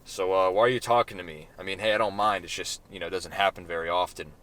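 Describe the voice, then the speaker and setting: A man's voice: low voice, fairly even intonation, quick pace, a bit bright, pitched below the performer's own voice, poor audio. A man voicing an infamous but easygoing man who hesitates and uses many fillers, in a storybook reading.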